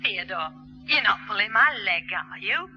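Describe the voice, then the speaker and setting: A woman's voice: mid-range voice, animated, normal speed, faint music behind, lightly acted. A woman playing a polite, inquisitive middle-aged mother in a 1960s radio sitcom. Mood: incredulous. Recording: muffled old radio recording.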